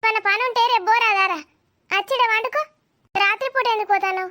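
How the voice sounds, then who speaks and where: A nosy, affectionate village aunt in a cartoon comedy, put on by a woman, with an extremely high voice. She fusses and chatters question after question in a Telangana accent. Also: fairly quick, pushed and bright. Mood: fussing concern. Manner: inquisitive, chattering.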